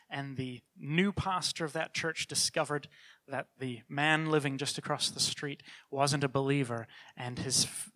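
Middle-aged man, mild New Zealand accent, earnest church speaker, bright tone, casual restarts. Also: mid-range voice. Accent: New Zealand, softened by years in Canada. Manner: earnest, conversational, with frequent restarts. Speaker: children's ministry leader. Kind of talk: church talk with personal testimony.

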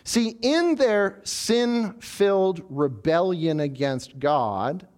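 A man preaching a sermon as pastor, animated and lively, acting out the proud voices of people in the story he tells. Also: high-pitched, very slow. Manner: animated preaching, voicing the proud tower builders.

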